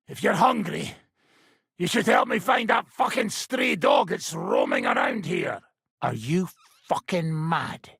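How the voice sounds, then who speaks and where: A gravelly, foul-mouthed hothead mercenary. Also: male voice, a little high, fairly bright tone, dry recording, clean studio sound, heavily acted. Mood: angry, aggressive. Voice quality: gravelly, raspy. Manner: coarse, swearing, blunt. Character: rough, hot-tempered, hard-drinking, foul-mouthed male mercenary soldier. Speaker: game character voice actor. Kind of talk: video game character dialogue.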